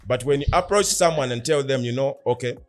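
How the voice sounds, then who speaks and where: Earnest male podcast co-host, mid-pitched, lectures through rhetorical questions; faint music underneath. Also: brisk. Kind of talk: casual philosophical discussion.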